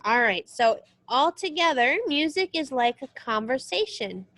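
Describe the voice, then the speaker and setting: High-voiced woman reading aloud as teacher. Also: animated, a little slow, fairly bright tone. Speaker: music teacher reading a children's book aloud. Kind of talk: read-aloud lesson with short spoken asides.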